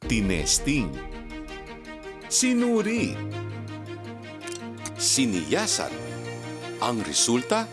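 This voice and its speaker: Very animated male ad announcer over loud music. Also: mid-range voice, drawn-out words, pauses often. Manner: punchy, emphatic short phrases. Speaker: commercial announcer. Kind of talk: advertising voice-over. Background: loud music bed.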